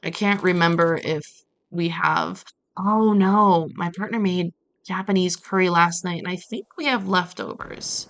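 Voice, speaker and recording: A woman from Brazil chatting casually as she streams a game, telling stories in an animated way with playful asides. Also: on the low side, a little slow, vocal fry. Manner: animated storytelling with acted-out quotes and playful asides. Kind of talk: casual chat while playing a game on a livestream.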